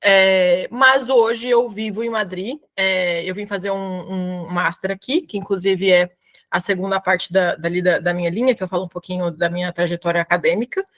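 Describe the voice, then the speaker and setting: A woman's voice: on the low side, steady tone, moderate pace, fairly bright tone. Chatty São Paulo woman presenting casually. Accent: São Paulo Portuguese. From São Paulo, Brazil. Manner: chatty, informal slang, frequent fillers. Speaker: guest speaker presenting to a professional community. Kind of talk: casual live webinar talk.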